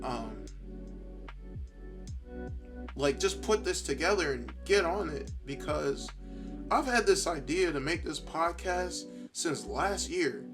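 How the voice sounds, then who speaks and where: Guy podcasting by himself, worn out kinda high voice, stop and start rambling, faint music behind. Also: expressive. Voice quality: tired, worn-out voice. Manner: halting, rambling, self-reflective. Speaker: podcast host. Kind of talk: casual solo monologue, thinking aloud.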